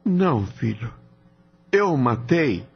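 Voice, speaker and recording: A man acting in a radio drama as a guilt-ridden father, grave and remorseful, with a husky, gravelly voice. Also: medium pitch, big pitch swings, slow, full character voice. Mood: remorseful confession. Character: guilt-ridden father confessing a cruel deed. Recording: dull lo-fi radio drama, faint music.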